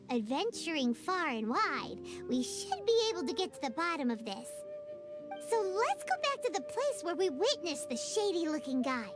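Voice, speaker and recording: A woman voicing a tiny, excitable fairy girl companion who talks about herself in the third person, in a video game. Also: very high voice, full character voice. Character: tiny floating fairy girl, chirpy and nosy. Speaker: companion sidekick. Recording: game audio with loud background music.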